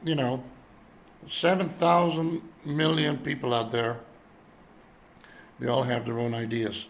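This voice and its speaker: A man in his seventies from Europe speaking in an unscripted, reflective monologue, halting as he searches for words. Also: mid-range voice, little pitch movement. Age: 70s. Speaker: citizen vlogger addressing world leaders.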